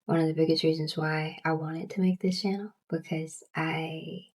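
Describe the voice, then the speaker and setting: A young woman with a Southern US accent and a stutter, chatting casually in a book vlog with halting restarts and many fillers. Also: low voice, little pitch movement. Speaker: booktuber.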